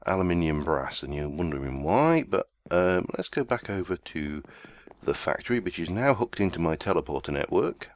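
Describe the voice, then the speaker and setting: Brief: male game host, very low voice, chesty with a cold, rambling and self-mocking, dry close mic. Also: lively intonation, moderate pace, hardly any pauses, dry recording. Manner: rambling, self-deprecating, easygoing. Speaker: let's play host. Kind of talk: casual gameplay commentary.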